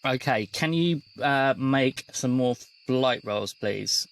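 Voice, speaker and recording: A man's voice: medium pitch, takes it slowly, clean tone. A man working as game master, narrating a tabletop roleplaying game in a conversational way.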